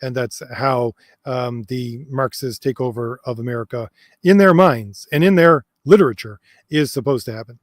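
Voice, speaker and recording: A man's voice: mid-range voice, normal speed, dry recording, clean studio sound. A man hosting a long chat stream, hesitant and full of fillers, who says he is losing his voice. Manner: hesitant, many fillers and restarts, reads comments aloud. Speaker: host answering viewer comments. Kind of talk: casual livestream chat.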